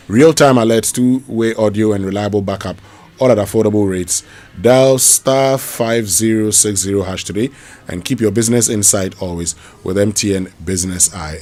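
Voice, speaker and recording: A male radio host with a Ghanaian English accent and a somewhat low voice. He moves through sponsor ads in a quick, promotional style, then reads listener questions in a plainer tone with small fillers. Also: darkish tone. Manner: brisk promotional delivery with fillers.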